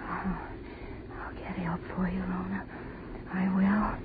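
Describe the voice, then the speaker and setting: An actress playing a terrified young woman in 1940s radio horror style, halting and pleading as she repeats herself in desperation. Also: on the low side, little pitch movement. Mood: pained, faltering. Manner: halting, repetitive, pleading speech. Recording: scratchy, tinny 1940s radio broadcast.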